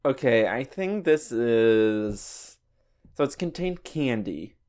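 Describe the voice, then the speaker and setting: A man on a casual comedy podcast, answering quiz questions slowly and hesitantly with many ums. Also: medium pitch, animated, darkish tone. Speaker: podcast co-host and quiz contestant.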